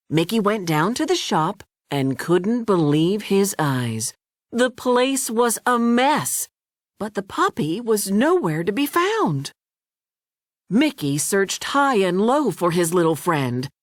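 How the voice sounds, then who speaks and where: A woman reads a children's storybook aloud with a hugely animated voice. She takes her time, narrating deliberately and expressively in a close, dry recording. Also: mid-range voice, dry recording. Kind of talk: scripted children's read-along narration.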